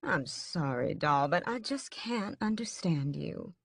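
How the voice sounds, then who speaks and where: A woman's voice: low voice, big pitch swings, slow, creaky, slightly rough, no echo, clean studio sound, full character voice. A woman voicing a suave, sinister male vampire captor who drawls with languid, mocking menace in an audiobook.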